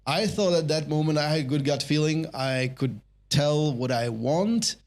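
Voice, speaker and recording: A man's voice: fairly high pitch, normal speed, a little mellow. A middle-aged man with a Czech accent, a guest chatting on a podcast about his career, thoughtful and rambling with restarts. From Czech Republic. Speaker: guest, brand marketer. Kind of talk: casual podcast conversation.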